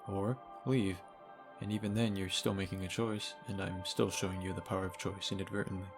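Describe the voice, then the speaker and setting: A man narrating a scripted video game review in a sardonic, casually profane way, recorded on a low-grade microphone. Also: little pitch movement, brisk. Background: game music.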